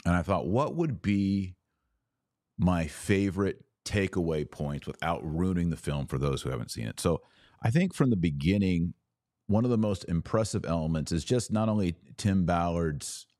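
A man with a very low voice reviews a film on his podcast in an earnest monologue. He leans hard on the words he wants to stress. The sound is dry and close to the mic. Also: lively intonation, moderate pace, mellow, no room sound. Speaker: podcast host.

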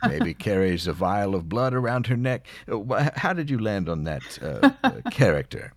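A man's voice: fairly low pitch, very animated, normal speed, no echo, clean studio sound. A man from near Philadelphia interviewing a guest, thoughtful and hesitant with many uhs, laughing readily. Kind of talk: casual interview conversation.

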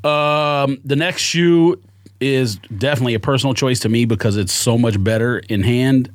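A man's voice: medium pitch, normal speed, no echo, clean studio sound. A man in a casual panel chat, bantering and defending his opinions in slangy, argumentative speech. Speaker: sneaker podcast panelist.

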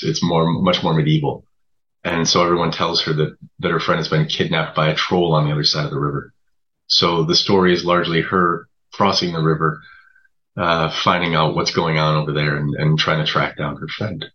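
A man speaking as a guest writer in an interview, conversational and a little hesitant, pausing often. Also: a bass voice, flat delivery, sharp tone, lo-fi sound. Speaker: guest writer of audio drama.

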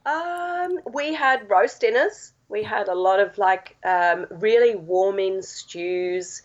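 A woman from England with a husky voice, chatting as a guest and explaining at length with many fillers. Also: medium pitch, slow. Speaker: guest, health science lecturer. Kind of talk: phone-in podcast interview. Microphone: phone line. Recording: dull lo-fi phone line.

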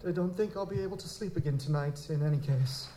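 A man as an anxious, high-voiced young nobleman. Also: quick pace, dark tone, natural voice. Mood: shaken. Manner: stammering, formal, fretful. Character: anxious, grieving young male nobleman, easily panicked. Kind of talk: live audio drama acting. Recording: echoey live stage, background noise.

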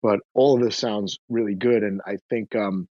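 A man's voice: lowish voice, steady tone, normal speed, darkish tone, no echo. A man speaking as a guest in a casual online audio chat, often repeating and stumbling over his words. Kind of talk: casual Twitter space chat. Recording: dull, dry lo-fi mic.

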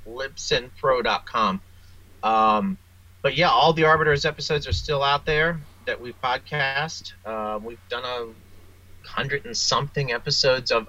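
Bright-voiced man in a casual interview. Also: medium pitch, highs cut off. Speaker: interview guest, author and audio drama producer.

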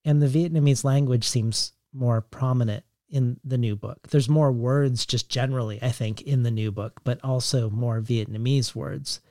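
A man interviewing a poet, asking a long, reflective question in a measured, hedging way. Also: mid-range voice, fairly even intonation, mellow, no room sound, studio quality. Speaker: interviewer, podcast host.

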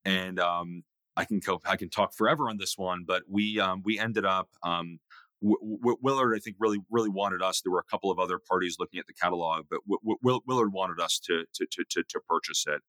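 Very deep-voiced man, halting speech. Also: bright, forceful tone, no echo. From New York area. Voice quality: very low voice. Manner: halting, many fillers and restarts. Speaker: guest, music investor. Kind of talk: casual interview talk.

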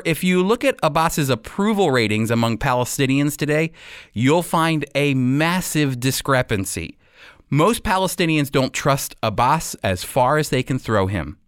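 A man's voice: on the high side, a bit bright, no room sound, studio quality. A man hosting a show, giving scripted opinion commentary in an earnest, emphatic way, speaking straight to listeners. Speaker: show host.

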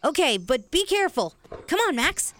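A woman's voice: high voice, sing-song, normal speed, background noise. A female performer playing a cautious, sensible, skeptical young boy in a children's audio drama, earnest and worried.

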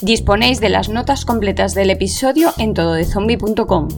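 Female announcer, mid-pitched, scripted outro read with clear guitar music behind. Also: a little fast. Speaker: podcast announcer.

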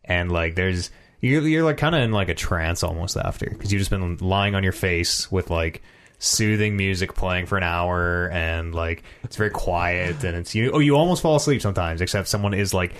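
Podcast guy with a lowish slightly hoarse voice, rambles, curses, does little voices. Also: animated, normal speed, fairly bright tone, a little hiss or hum. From Canada. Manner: rambling, swears freely, acts out quotes. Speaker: podcast co-host. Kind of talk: casual chat.

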